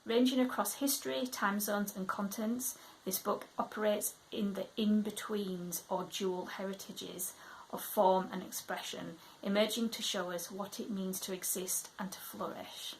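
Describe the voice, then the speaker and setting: A woman with a Yorkshire accent chatting through her reading plans as a book vlogger, reading blurbs aloud in a measured, chatty way and trailing off into soft asides. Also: mid-range voice, steady tone, slight background noise, poor audio.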